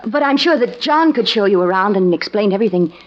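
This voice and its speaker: An actress playing a nervous, pleading scientist's wife in a 1940s radio drama. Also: female voice, mid-range voice, animated, fast talker, close to an everyday voice. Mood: anxious. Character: anxious, dutiful wife of a scientist. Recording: noisy, dull old radio recording.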